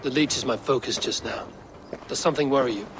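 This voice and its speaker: A stern Viking raider voiced by a man from a video game, speaking in terse, measured, formal phrases with grim purpose. His mid-pitched voice rings with long reverb over faint noise. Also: natural voice. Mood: probing, focused. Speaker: playable lead character.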